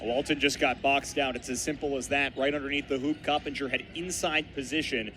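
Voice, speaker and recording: A male color analyst speaks quickly in a bright, forceful voice. He explains the play matter-of-factly over faint live game noise. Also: mid-range voice, steady tone.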